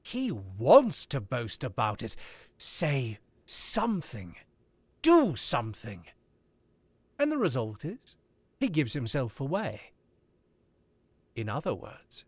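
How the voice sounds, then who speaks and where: Casting: a man as a wry drawling gentleman author, slow unhurried lines, lively melodic delivery, dry booth sound. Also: mid-range voice, no room sound. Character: suave sardonic older male author, amused. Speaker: radio play actor. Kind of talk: old-time radio drama recreation.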